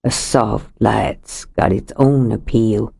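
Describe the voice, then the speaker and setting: Woman putting on a low, grieving widower. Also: takes it slowly, vocal fry, mellow, slightly rough, heavily acted. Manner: low, heavy and bitter. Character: grieving stubborn middle-aged widower, rough-edged. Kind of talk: audiobook character reading.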